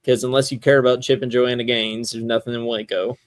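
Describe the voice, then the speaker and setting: A man hosts a relaxed, conversational sports podcast. His voice sits at a middling pitch. He rambles and thinks out loud, and the sound is dry with little room in it. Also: fairly quick, no echo. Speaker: podcast host. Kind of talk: casual sports chat.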